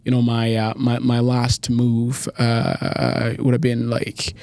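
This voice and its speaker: A young man from Tanzania, a podcast guest talking casually, full of restarts and quick laughs. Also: mid-range voice, completely flat delivery, a little mellow, no room sound. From East Africa, born in Tanzania. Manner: halting, many restarts and "like", laughs easily. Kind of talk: casual interview chat.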